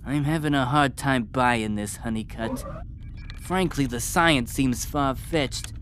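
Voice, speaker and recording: A man's voice: medium pitch, takes it slowly, fairly bright tone, a little hiss or hum. A man voicing an anxious, sceptical older scientist in a comic dub. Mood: worried.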